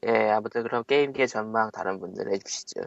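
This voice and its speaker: A man hosting a casual podcast, dropping dry, quick interjections. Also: lowish voice, vocal fry, no room sound. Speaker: podcast host. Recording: dry lo-fi audio.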